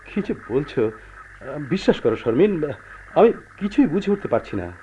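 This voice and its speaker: Radio play husband, earnest and soothing, warm dark tone, muffled old recording. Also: male voice, a little high, big pitch swings. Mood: puzzled, defensive. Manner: earnest, placating, measured. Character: calm, pragmatic husband placating his unhappy wife. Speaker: radio drama actor. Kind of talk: Bengali radio play acting. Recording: dull, noisy old radio drama recording.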